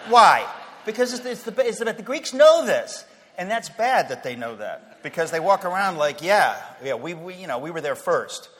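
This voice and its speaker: A man's voice: high voice, sing-song, normal speed, fairly bright tone. Joking, restarting man in a reverberant hall. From Queens, New York. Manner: conversational and humorous, with stammered restarts and rising emphasis. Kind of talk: casual personal talk.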